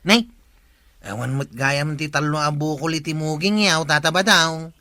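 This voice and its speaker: A man with an Ilocano accent playing an excitable comic sidekick in a radio comedy drama. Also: on the high side, moderate pace, no room sound.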